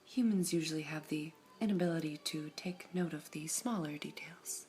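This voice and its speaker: A woman voicing a serene all-seeing female gem in an ASMR roleplay, whispering softly in a measured, formal way. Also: low voice, faint music behind, natural voice. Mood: calm whispering.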